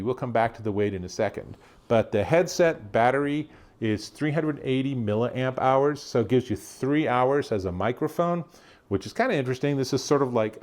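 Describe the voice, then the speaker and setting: A man's voice: medium pitch, darkish tone. A man giving a casual, conversational product demonstration as an enthusiastic tech reviewer.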